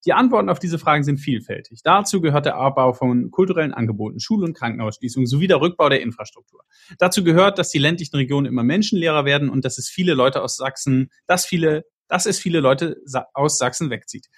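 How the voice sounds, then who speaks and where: A man's voice: fairly high pitch, animated, fast talker, slightly rough. A man hosting a podcast discussion, thinking aloud with frequent restarts and tag questions. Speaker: podcast host.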